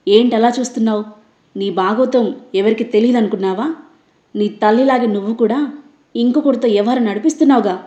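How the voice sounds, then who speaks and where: A woman's voice: on the high side, fairly quick, mellow, reverberant, highs cut off, natural voice. A woman voicing a harsh, accusing middle-aged mother-in-law who hurls insults. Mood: scornful, furious.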